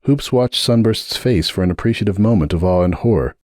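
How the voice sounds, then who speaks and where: A man narrating a story in an expressive dramatic audiobook reading. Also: lowish voice, brisk, no echo, studio quality.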